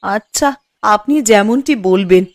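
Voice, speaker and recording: A woman's voice: mid-range voice, a little slow, no echo, lightly acted. A woman playing a humble, wronged village widow in a cartoon moral story, deferential and plaintive. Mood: puzzled, deferential.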